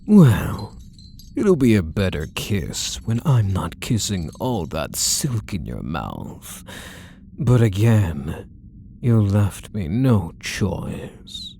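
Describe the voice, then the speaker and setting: A man playing a possessive, obsessively doting kidnapper in an intimate ASMR roleplay, hushed and menacingly tender, often slipping into whispers. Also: on the low side, wildly swooping pitch, drawn-out words, slight background noise, heavily acted. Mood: tenderly obsessive. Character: possessive male yandere kidnapper, obsessively doting on captive spouse.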